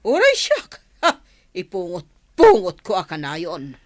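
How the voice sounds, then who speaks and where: A woman acting in an Ilocano radio drama as a sharp-tongued, money-hungry village wife, feisty and full of complaint. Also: lowish voice, animated, a little slow, no echo, clean studio sound. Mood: furious, complaining.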